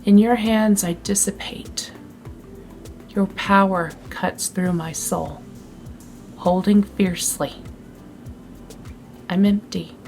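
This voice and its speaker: A woman giving a dramatic poetry reading, deliberate, with pauses between short phrases. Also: mid-range voice, steady tone, creaky, a little mellow, soft background music. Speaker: poetry reader.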